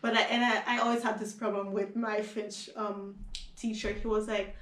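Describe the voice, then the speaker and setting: A young woman from Haiti with a Haitian accent, a Creole speaker, chatting as an interview guest, animated and full of fillers and restarts. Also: medium pitch, normal speed, roomy sound, distant mic. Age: young adult. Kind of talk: casual conversation.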